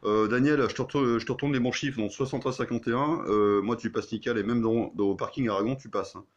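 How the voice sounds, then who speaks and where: A man's voice: medium pitch, steady tone, fast talker, highs cut off. A man who is an amateur radio operator, chatting and muttering casually in a blunt, sweary, grumbling way.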